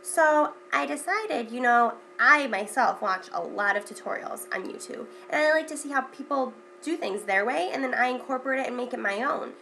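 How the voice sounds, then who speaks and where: A young woman talks through her everyday makeup routine in a loose, chatty way, her voice stuffy from a cold. She sits slightly high in pitch with a gravelly fry at the ends of phrases, and a faint hiss of noise runs under the home recording. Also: animated, normal speed, hardly any pauses, pushed and bright. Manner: chatty, with many ums and repeated words. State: congested from a cold. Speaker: beauty vlogger. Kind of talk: casual makeup tutorial chat.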